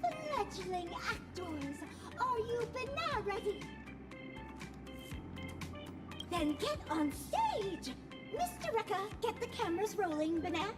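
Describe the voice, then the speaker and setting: A woman's voice: big pitch swings, unhurried, heavily acted. A woman voicing a bossy, theatrical banana advisor creature in a video game, who slips the word "Bana" into her lines. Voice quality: high squeaky cartoonish voice. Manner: bossy, dramatic, tacks "Bana" onto words. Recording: game audio with loud background music.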